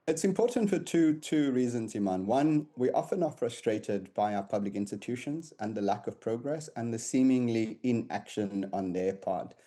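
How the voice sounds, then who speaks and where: Man, security analyst on live news, mellow tone, measured with restarts. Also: mid-range voice, lively intonation. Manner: measured, with repeated words and restarts. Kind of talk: live news interview commentary.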